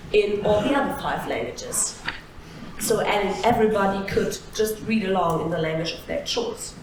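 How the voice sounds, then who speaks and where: A woman talking on a seminar panel, halting and restarting her sentences with frequent fillers. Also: medium pitch. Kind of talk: conversational panel talk. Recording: echoey hall, distant mic, faint noise.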